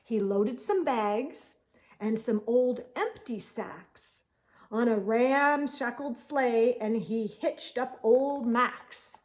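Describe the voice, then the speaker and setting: Slow, high-voiced woman reading a storybook. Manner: expressive, drawn-out emphasis, theatrical. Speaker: children's storytime host and reader. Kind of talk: dramatic picture-book read-aloud.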